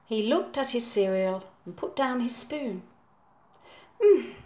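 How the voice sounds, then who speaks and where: A woman reading a children's story aloud in a measured, expressive way. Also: a little high. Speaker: storybook reader.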